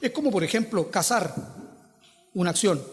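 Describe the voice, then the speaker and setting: A man's voice: high voice, animated, normal speed, in a large hall. A Chilean man preaching a sermon, posing rhetorical questions and stretching out quoted scripture with emphasis. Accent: Chilean Spanish. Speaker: pastor.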